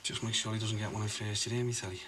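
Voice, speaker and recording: An actor playing a blunt, loyal friend who offers to back him up. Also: male voice, on the low side, little pitch movement, very fast, fairly bright tone, lightly acted. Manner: blunt, protective. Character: tough mate, blunt and loyal. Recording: background noise in a drama scene.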